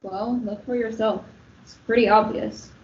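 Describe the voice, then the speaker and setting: A woman playing an offhand, deadpan old morgue doctor in a comic radio play. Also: on the high side, a little slow. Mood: blasé. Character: blasé old morgue doctor, fond of eating at work. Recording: roomy, muffled, noisy recording.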